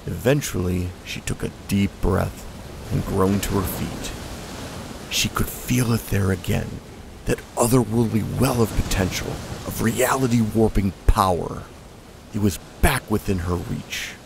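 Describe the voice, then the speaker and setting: Deep, gravelly male narrator. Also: steady tone, measured pace. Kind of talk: scripted story narration. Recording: noisy recording.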